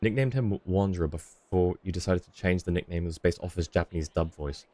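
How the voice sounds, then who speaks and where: A man's voice: sits low, fairly quick, warm tone, no room sound. A man live-streaming a video game, chatting casually with viewers as he plays. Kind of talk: casual chat while playing a game for viewers.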